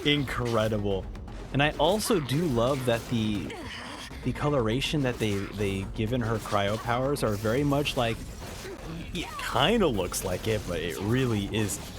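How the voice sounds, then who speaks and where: Male streamer, slow casual gameplay commentary, clear game music behind him. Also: mid-range voice, lively intonation. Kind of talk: casual live gameplay reaction.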